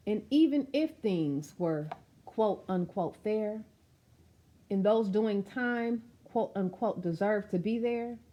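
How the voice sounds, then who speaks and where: A woman's voice: fairly high pitch, muffled recording. A woman reading a children's picture book aloud, speaking expressively and directly to young listeners with chatty asides. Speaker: storytime reader.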